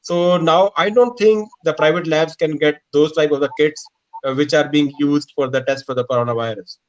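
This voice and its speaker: A man, a doctor from India, answering questions haltingly in a hoarse voice. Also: fairly high pitch, fairly even intonation. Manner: halting, searching for words. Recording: dull lo-fi call audio.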